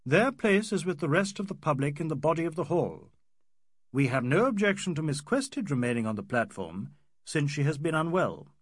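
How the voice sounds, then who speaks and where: A man's voice: on the high side, sing-song, moderate pace, no echo, clean studio sound, voice pushed up. A man voicing an eminent, formal barrister in an audiobook, courteous and firm, with an Oxford English accent. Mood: firm, formal objection. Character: eminent Indian barrister, large, formal, coolly objecting.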